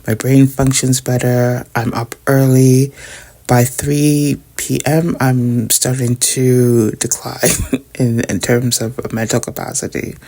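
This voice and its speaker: A woman's voice: rumbling low, level tone, slow pace, dark tone. A middle-aged woman, chatting casually to her viewers while tidying up at home. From Canada. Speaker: vlogger. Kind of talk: casual chat while decluttering.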